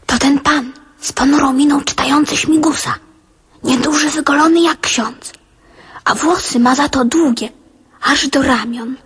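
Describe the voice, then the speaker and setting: A woman's voice: high voice, steady tone, unhurried, fairly bright tone. An actress playing a dreamy, curious boy of eight or nine in a radio drama, musing aloud in wonder. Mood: observant.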